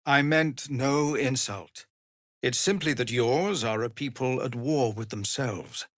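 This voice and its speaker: A male actor voicing a weary, earnest refugee leader and fallen warrior in a fantasy video game, with a gravelly, husky voice and a measured, formal, grave delivery. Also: medium pitch, a bit bright, dry recording, clean studio sound. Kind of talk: dramatic fantasy game dialogue.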